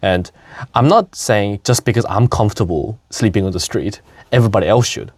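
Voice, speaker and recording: A man's voice: fairly low pitch, fairly quick, a little mellow, husky, dry recording. A young man from South Korea, hosting a casual talk, who laughs often and talks with many fillers and restarts. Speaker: podcast host. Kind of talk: casual conversation.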